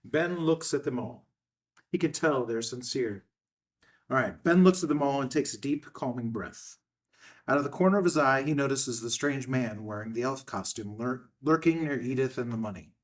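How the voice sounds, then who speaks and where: A man's voice: mid-range voice, normal speed. A man reading scene headings and action lines as the narrator of a screenplay table read. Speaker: stage-direction narrator.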